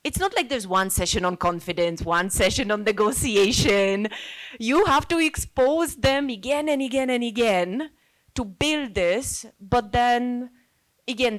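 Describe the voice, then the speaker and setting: Female discussion participant, Polish native speaker from Poland, medium pitch, earnest and reflective, unscripted delivery. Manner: earnest, reflective, thinking aloud. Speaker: NGO worker speaking as a participant. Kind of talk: informal spoken contribution to a group discussion.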